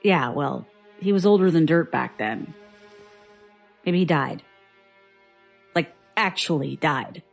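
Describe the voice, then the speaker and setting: An actress playing a weary, guarded survivor giving dry, reluctant testimony in an audio drama. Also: female voice, deep voice, lively intonation, takes it slowly, gravelly, long pauses, faint music behind, heavily acted. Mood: sardonic. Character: guarded, weary woman survivor recounting childhood strangeness.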